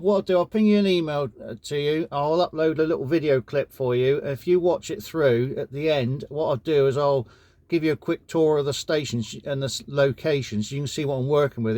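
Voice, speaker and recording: A man's voice: on the high side, a little mellow, no room sound. A man with an English accent chatting courteously as an amateur radio operator during a casual contact. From United Kingdom.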